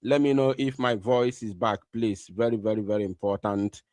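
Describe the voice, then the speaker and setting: A man's voice: lowish voice, fairly even intonation, normal speed, a little mellow, dry recording, clean studio sound. A man hosting a live online broadcast, talking to listeners in an urgent, insistent way and repeating his phrases.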